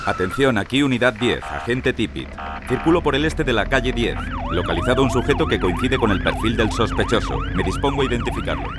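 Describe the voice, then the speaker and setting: A man's voice: medium pitch, wildly swooping pitch, a little fast, very noisy. An actor playing a dutiful male patrol officer, reporting in clipped procedural phrases over the radio. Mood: alert, businesslike. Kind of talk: dramatised police radio report.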